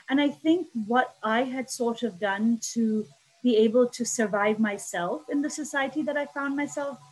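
A woman from Pakistan, a guest author who talks fast and often restarts her sentences in a casual interview. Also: high voice, a little mellow, breathy edge, poor audio. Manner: fast, restarting, stumbling over words.

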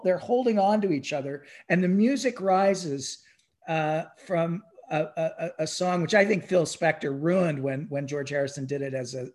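A man speaks in a somewhat high register in a casual podcast discussion. He tells things like a storyteller, leaning on key words, repeating phrases and pausing for effect.

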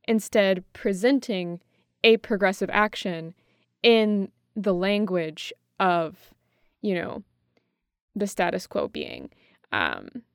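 Smooth-voiced American woman, closely recorded. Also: mid-range voice, no echo, studio quality. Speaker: podcast co-host. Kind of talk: thoughtful conversational discussion.

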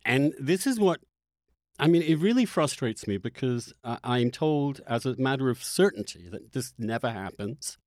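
A man hosting a conversational interview, speaking earnestly and haltingly as he circles back to rephrase his questions. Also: mid-range voice, animated, darkish tone, no room sound. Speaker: podcast host and interviewer.

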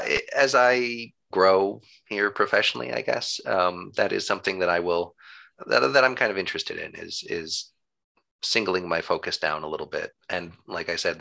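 Low-voiced man with a creaky edge. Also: bright, forceful tone. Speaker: interview guest, town government employee. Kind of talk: conversational interview.

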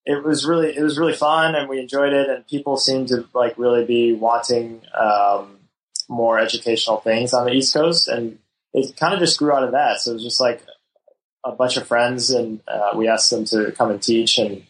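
A man, a wedding photographer guest on a podcast, explaining things in an easygoing, halting way. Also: mid-range voice, fairly even intonation, poor audio. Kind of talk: casual interview chat.

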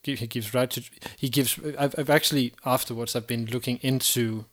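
A man co-hosting a casual podcast discussion, halting and repeating words as he thinks. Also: medium pitch, steady tone. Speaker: podcast co-host.

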